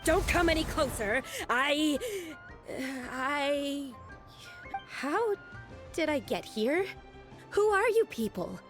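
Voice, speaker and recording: A woman's voice: sits high, animated, takes it slowly, music in the background. A woman playing a bewildered, frightened young woman in a video game, faltering and pleading. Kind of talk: dramatic video game voice acting.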